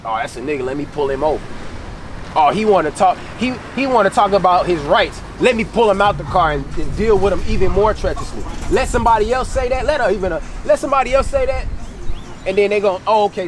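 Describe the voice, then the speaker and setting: An American man preaching in an impromptu, colloquial street sermon, exhorting and repeating phrases for emphasis. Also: sits high. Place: outdoors on a city street. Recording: loud outdoor traffic and street noise.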